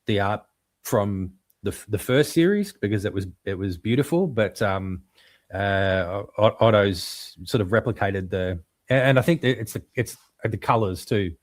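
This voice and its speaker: A lower-pitched man co-hosting a podcast, talking comics in an easy, casual way. He stops and restarts often and fills gaps with ums, yet his enthusiasm comes through. The sound is dry and close, with no room echo. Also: expressive. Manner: halting, full of ums and restarts. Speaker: podcast co-host. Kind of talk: casual chat about comics.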